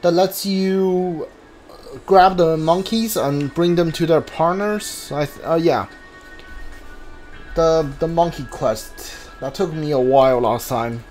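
Halting, casual gamer thinking aloud. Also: medium pitch, a little mellow, faint music behind, poor audio. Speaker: video game player. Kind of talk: casual gameplay commentary.

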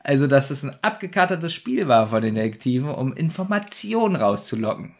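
A man's voice: mid-range voice, very animated, fairly quick. A man co-hosting a podcast in casual chat, keeping things brief and teasing his partner. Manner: brief, teasing, laughs often. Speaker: podcast co-host.

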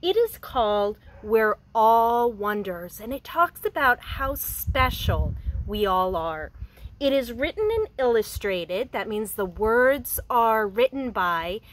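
A woman in her thirties, a preschool teacher, reading a picture book aloud to young children outdoors in a gentle, expressive voice. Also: fairly high pitch, takes it slowly, poor audio. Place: outdoors in front of a house.

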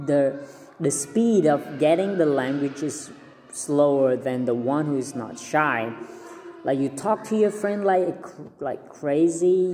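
Slow, mellow Cambodian-accented young man. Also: on the high side, big-room sound, slight background noise. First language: Khmer. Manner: earnest, repeats words and restarts often. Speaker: vlogger giving language tips. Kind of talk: casual talk to camera. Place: small indoor room. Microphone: phone at arm's length.

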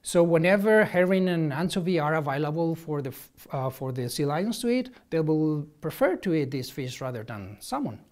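Male ecologist, 30s, slightly high voice, hesitant interview delivery on a lapel mic. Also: lively intonation, a little mellow. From Spain. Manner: halting, many restarts and ums, gestures while explaining. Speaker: marine ecologist. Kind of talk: interview answers to camera. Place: office with shelves, indoors.

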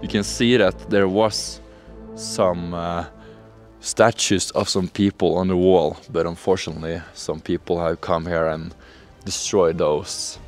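A man's voice: low-pitched, level tone, unhurried, faint music behind. A young man hosting a casual exploring vlog, thinking aloud with fillers, filmed on a handheld camera in a large, cold, empty building. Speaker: urban explorer host. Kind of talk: casual on-location vlog talk.

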